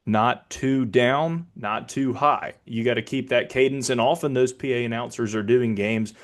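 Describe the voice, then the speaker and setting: Man, American accent, mid-range voice, measured, instructive, explanatory announcer talk. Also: mid-range voice. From Birmingham, Alabama, United States. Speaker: sports public address announcer.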